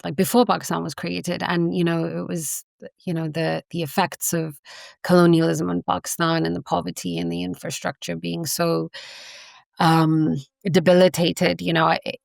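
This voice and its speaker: A woman from England talks as an academic interview guest, earnest and impassioned, leaning on "you know" as she goes. Her voice sits low with a gravelly fry at the ends of phrases. The sound is close and dry. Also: no echo. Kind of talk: conversational interview.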